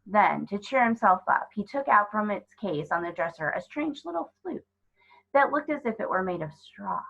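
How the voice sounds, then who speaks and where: A woman reads a children's story aloud in expressive narration. Her voice is mid-pitched, with a soft husky, breathy grain. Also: moderate pace, highs cut off. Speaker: storybook reader.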